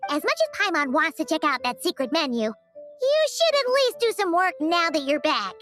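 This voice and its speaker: A woman voicing a tiny, perky fairy girl companion in an anime game, with a squeaky childlike voice. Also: big pitch swings, moderate pace, fairly bright tone, soft background music, heavily acted. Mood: eager. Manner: refers to self in third person, exclaiming.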